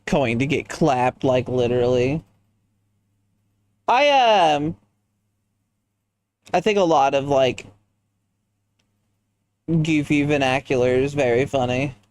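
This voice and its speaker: A man's voice: medium pitch, animated, slow, long pauses. A man streaming a game in casual chat, rambling and reacting to viewers with goofy asides. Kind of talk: casual chat while gaming.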